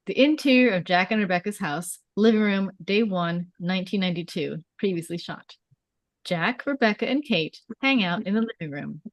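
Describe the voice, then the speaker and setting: A woman's voice: medium pitch, no room sound. A woman giving scripted narration, reading out stage directions at a table read.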